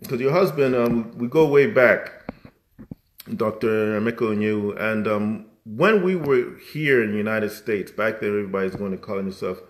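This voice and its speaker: A man's voice: on the low side, moderate pace. Man, rambling. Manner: rambling, animated, many restarts and fillers. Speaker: musician guest. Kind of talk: casual conversational interview.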